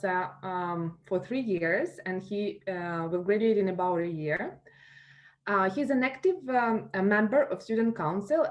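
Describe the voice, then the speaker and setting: A woman with a Ukrainian accent moderating a roundtable Q&A, speaking with many fillers and restarts. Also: medium pitch. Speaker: moderator of a school alumni panel. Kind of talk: hosting a roundtable Q&A.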